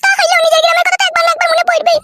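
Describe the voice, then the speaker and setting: A man voicing a sulky, demanding young village wife in a comic cartoon, with a squeaky pouting delivery. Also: flat delivery, racing, pushed and bright, soft background music.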